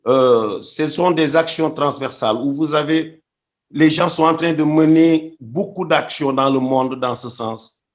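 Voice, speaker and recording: A man's voice: fairly high pitch, measured pace, dull audio. A man from Senegal answering interview questions thoughtfully, often restarting his phrases. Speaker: guest.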